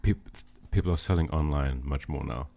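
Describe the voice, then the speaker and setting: A man's voice: a bass voice, normal speed, gravelly, warm tone. A man, hosting an interview in a casual, chatty way. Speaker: podcast host, interviewer.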